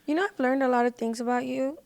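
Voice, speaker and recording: Higher-pitched woman with creaky, flat delivery. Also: fast, no echo. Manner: informal, slangy. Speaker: podcast guest, singer and social media creator. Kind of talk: casual podcast chat.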